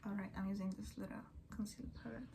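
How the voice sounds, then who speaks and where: A young woman who is not a native English speaker, chatting in a soft, often half-whispered, rambling way as she does her makeup at home in front of a mirror. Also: medium pitch, level tone, quick pace, creaky, noisy background. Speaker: beauty and book vlogger. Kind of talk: get-ready-with-me chat.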